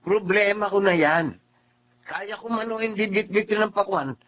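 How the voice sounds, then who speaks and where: Higher-voiced, good-natured Filipino family man. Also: normal speed, fairly bright tone. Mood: firm. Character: good-natured forty-year-old father with dwarfism, patient, proud. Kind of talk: Filipino radio drama acting, first-person narration and dialogue. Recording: muffled radio-drama audio with music behind.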